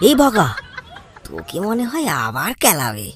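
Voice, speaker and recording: A woman's voice: medium pitch, wildly swooping pitch, a little slow. A woman voicing a teasing, mischievous male pal in a comic cartoon. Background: music.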